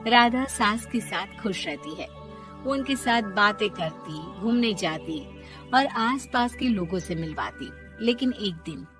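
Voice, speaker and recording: A woman's voice: mid-range voice, lively intonation, unhurried, over music. A woman delivering scripted narration for a moral story.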